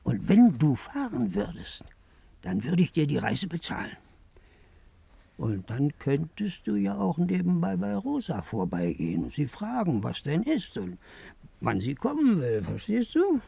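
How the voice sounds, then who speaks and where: An actor playing a frail, pleading old man in a 1950s radio play, halting, wistful and coaxing. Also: on the high side, wildly swooping pitch, vocal fry, dark tone, breathy edge, no room sound, exaggerated voice. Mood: pleading, hopeful. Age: older.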